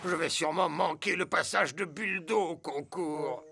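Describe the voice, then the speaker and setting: Fretful, creaky high-voiced cartoon truck. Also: male voice, moderate pace. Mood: fretful, determined. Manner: exclaiming, muttering to himself. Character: anxious hard-working talking cargo truck, stubborn and clumsy. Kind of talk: dubbed children's cartoon acting. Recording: loud music behind the voice.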